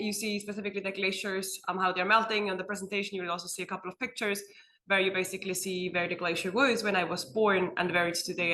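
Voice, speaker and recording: A woman's voice: mid-range voice, bright, forceful tone. A young woman from Switzerland giving an earnest panel talk in a conference room, often using fillers like "um" and "like". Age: young adult. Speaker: panel speaker. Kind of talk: roundtable talk.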